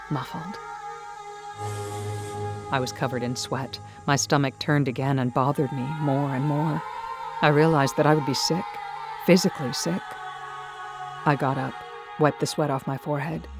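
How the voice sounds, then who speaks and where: Female narrator for fiction podcast, creaky voice, measured intimate storytelling with music underneath. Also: very deep voice. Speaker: audiobook-style narrator. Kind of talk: dramatic first-person scripted narration. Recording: music behind the voice.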